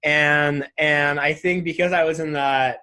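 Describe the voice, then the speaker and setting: A man's voice: a little high, steady tone, measured pace, sharp tone. A man in his twenties talking casually and reflectively as an interview guest, earnest, often saying "like" and "right?". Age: 20s.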